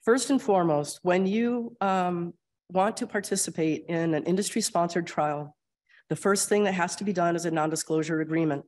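A woman with an Upper Midwest American accent, getting over a cold and losing her voice, giving a conversational grand rounds lecture and often clearing her throat. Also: fairly low pitch, normal speed, darkish tone. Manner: explanatory, conversational, frequent ums and throat clearing. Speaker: clinical research administrator presenting at grand rounds. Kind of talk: conference lecture with slides.

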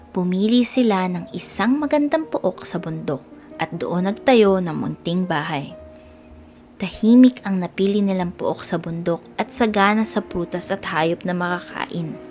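A woman with a Filipino accent narrating a scripted children's storybook in an expressive read-aloud style. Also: lowish voice.

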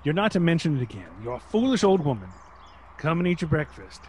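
A stubborn older family man in a radio drama. His voice is rather high and moves up and down freely as he grumbles and blusters. Light noise runs behind him. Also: big pitch swings, fast. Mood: irritable. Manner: gruff, dismissive, blustering. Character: grumpy, stubborn older husband and father.

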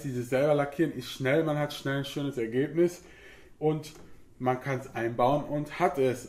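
A man's voice: medium pitch, mellow. A man in his thirties from Germany talks through car parts in a home garage. He has a cold and fills his sentences with plain hesitation sounds. His mid-range voice rings in an echoey workshop, picked up by a nearby camera mic. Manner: talkative, frequent filler sounds, matter-of-fact. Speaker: car hobbyist YouTuber. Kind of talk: casual explanatory vlog.

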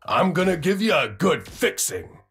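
An actor playing a brash, cocky racing robot in a video game, with a gravelly, husky voice and a boisterous drawl. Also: male voice, fairly high pitch, very animated, normal speed, fairly bright tone, full character voice. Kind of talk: video game character voice lines.

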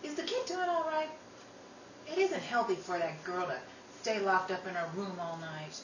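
A woman playing an outspoken, quick-tempered young working sister in an old-time radio style drama, brisk and dramatic. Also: mid-range voice, animated, fairly bright tone, natural voice. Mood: wry, chatty. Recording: roomy, distant mic, noisy.

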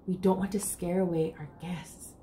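A woman reading as a kindly, hushed storybook aunt. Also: on the low side, steady tone, normal speed, slight background noise, voice pushed down, lightly acted. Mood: hushed, gentle. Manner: soft, often whispering.

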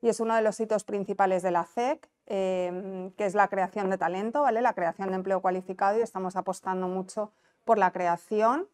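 Female presenter, non-Canarian Spanish accent, smooth clear voice, explanatory and conversational, informal business talk on a lapel mic. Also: mid-range voice, steady tone, brisk, darkish tone. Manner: explanatory, conversational, checks understanding with tag questions. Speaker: conference presenter, business incentive adviser. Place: small indoor conference room.

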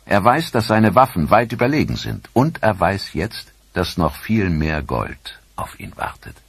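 A man narrating a documentary in a dramatic, expressive scripted manner with rhetorical questions. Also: lowish voice, unhurried, vocal fry, husky, no room sound.

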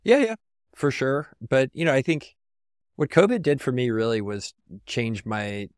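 A man's voice: medium pitch, unhurried, clean tone, no echo, clean studio sound. A man from San Antonio, a podcast guest talking reflectively in a casual, rambling way with lots of "like.". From San Antonio, Texas.

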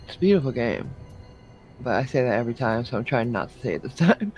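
A man's voice: medium pitch, fast talker, poor audio. A man with a New York accent, streaming a video game and chatting casually with friends, joking around. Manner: joking, animated, mock accents. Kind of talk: casual chat while gaming. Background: game music.

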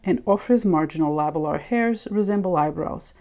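A woman's voice: on the low side, moderate pace, dark tone. A woman presenting scripted educational narration. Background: music.

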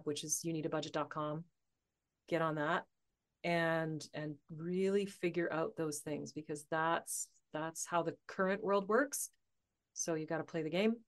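A woman in her forties chats as a podcast guest, thinking out loud and laughing often. Her voice sits a little low and swings widely between hushed asides and bright bursts. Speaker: podcast guest, author and coach. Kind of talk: casual interview chat.

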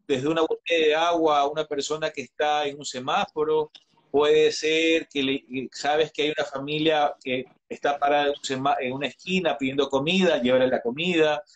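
A man in his thirties talking earnestly as a guest in an online interview, advising listeners with frequent restarts and hesitations, heard through a video call. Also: fairly high pitch. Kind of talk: earnest live-stream conversation. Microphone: video call webcam.

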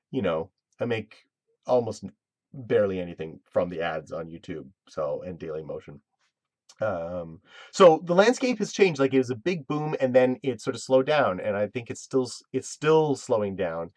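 Man, 30s, casual live-stream host, rambly with many fillers and restarts, dry close webcam sound, mid pitch. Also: no room sound. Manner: rambling, self-interrupting, full of fillers. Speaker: web series creator hosting a live Q&A.

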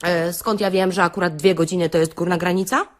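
A woman's voice: fairly low pitch, fairly even intonation, a little fast, lightly acted. A woman playing a brash, sarcastic beautician in a satirical radio-play monologue, theatrical and full of rhetorical questions to her listeners. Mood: mock-lecturing. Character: brash, sarcastic beautician delivering a comic self-help rant. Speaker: actress reading a comic monologue.

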